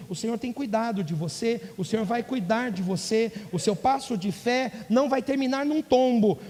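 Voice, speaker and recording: A man's voice: very high-pitched, a little mellow. A male pastor preaching a sermon, rising into emphatic bursts and asking the congregation questions. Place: church. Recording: church hall with long reverb.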